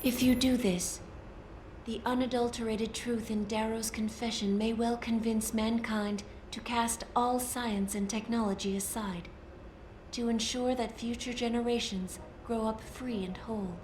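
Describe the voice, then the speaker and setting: A woman voicing a calm, solemn artificial intelligence in a game, speaking in a measured, formal manner. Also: fairly high pitch, natural voice. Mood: solemn warning. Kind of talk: dramatic game dialogue. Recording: echoey, noisy ambient game soundscape.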